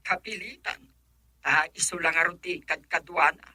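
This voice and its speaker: Middle-aged radio drama auntie, actress, chatty, piercingly bright tone, close dry recording. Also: female voice, on the low side, normal speed, breathy edge, dry recording, full character voice. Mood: chatty, resigned. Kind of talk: Ilocano radio drama acting.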